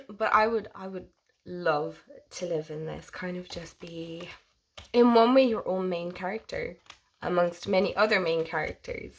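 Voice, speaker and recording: A young woman with a hoarse voice from a head cold, chatting casually and gushingly as she shows her tarot decks on her own channel. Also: on the low side, a little slow, a bit bright. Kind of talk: casual show-and-tell vlog.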